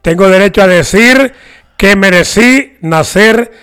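Honduran male sports co-host, high smooth voice, playful banter. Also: measured pace, fairly bright tone. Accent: Honduran Spanish. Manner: joking, playful, reads sponsor plugs. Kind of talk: casual sports talk banter.

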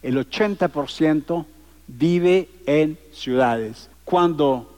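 A man's voice: fairly high pitch, slow, a little mellow. A man in his sixties from Colombia, giving a public lecture in an emphatic, rhetorical way built on repetition and lists. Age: 60s. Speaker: conference speaker. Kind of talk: public lecture to a live audience.

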